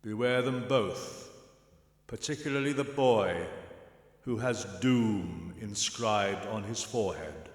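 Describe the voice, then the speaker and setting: A man's voice: lowish voice, slow. A man playing a solemn, accusing Christmas spirit, grave and declamatory, in a radio play. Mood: grave warning. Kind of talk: stage radio play acting. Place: live performance in a church. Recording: reverberant live church performance.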